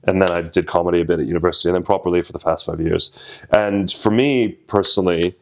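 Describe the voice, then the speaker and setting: A man's voice: deep voice, fairly even intonation, fast, creaky. A man in his twenties from Slovenia, a comedian chatting casually in an interview, rambling and self-deprecating with frequent restarts. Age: 20s. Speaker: comedian guest.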